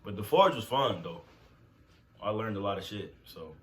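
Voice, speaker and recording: A man's voice: on the low side, lively intonation, normal speed. A young man co-hosting a vlog, talking about basic training in a laid-back, profane, matter-of-fact way. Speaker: vlog co-host, army veteran. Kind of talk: casual conversational chat.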